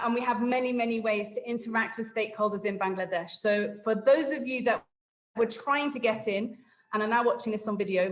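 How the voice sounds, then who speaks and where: A woman's voice: fairly high pitch, reverberant. English woman hosting a video call. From England. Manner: apologetic, explains step by step with many fillers. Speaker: webinar facilitator. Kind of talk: hosting an online meeting.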